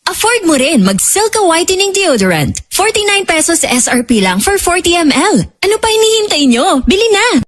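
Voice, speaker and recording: A woman's voice: very high-pitched, very animated, brisk, hardly any pauses. A woman with a Filipino accent delivering a radio advertisement tag.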